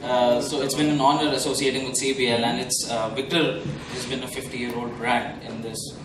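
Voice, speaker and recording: A man's voice: mid-range voice, moderate pace, a crowd in the background. A man representing a sponsor brand gives a short, formal speech at a launch event. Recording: echoey hall, distant mic, steady noise.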